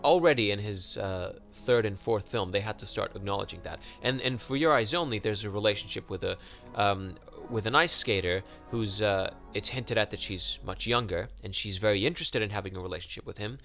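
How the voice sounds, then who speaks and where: A man chats casually on a film podcast, circling his points and filling gaps with hesitations. His voice is bright and forward, and faint music sits behind him. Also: lowish voice, fairly quick, gravelly, hardly any pauses. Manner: rambling, hesitant with many fillers. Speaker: podcast co-host. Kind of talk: casual chat about films.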